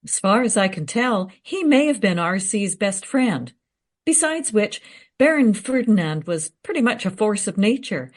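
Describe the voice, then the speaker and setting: An actress playing a confident, eager researcher in a radio play, recounting history in a teasing, matter-of-fact way. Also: female voice, mid-range voice, very animated, moderate pace. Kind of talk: radio play dialogue, storytelling.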